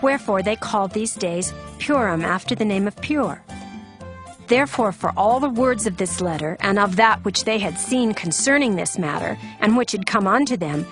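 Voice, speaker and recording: A woman narrates scripture as formal drama, in a solemn storytelling manner. Her voice sits at a medium pitch, with music playing beneath it. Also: fairly bright tone. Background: background music.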